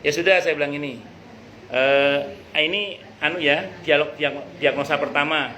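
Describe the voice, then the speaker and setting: A middle-aged man, an Islamic teacher giving an informal, conversational study talk with jokey anecdotes and some halting repetition. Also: a little high, sharp tone. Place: a private home hosting a study gathering. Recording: noisy live recording.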